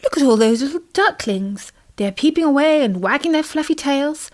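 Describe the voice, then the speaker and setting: A soft-hearted lady swan in a children's tale, voiced by a woman. Her fairly high voice is plaintive and full of sympathy. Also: animated, normal speed. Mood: concerned. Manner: sighing, plaintive.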